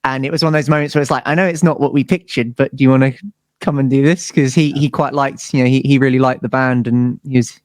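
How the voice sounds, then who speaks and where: A man's voice: mid-range voice, fairly even intonation, fast talker, no room sound. British man chatting, dry close sound. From United Kingdom. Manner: rambling, informal storytelling. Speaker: musician, interview guest. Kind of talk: casual interview chat.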